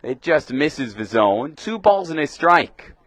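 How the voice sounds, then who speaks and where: A man's voice: a little high, sing-song, takes it slowly, fairly bright tone, no room sound. A young man doing live radio baseball play-by-play commentary, describing the action in short clipped phrases. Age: young adult.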